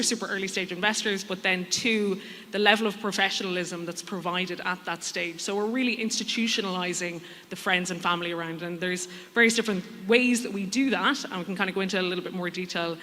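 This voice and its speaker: Bright-voiced woman panelist in a hall. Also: on the low side, steady tone, a little fast. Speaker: panelist, venture capital investor. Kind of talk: conversational panel introduction. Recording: reverberant hall sound.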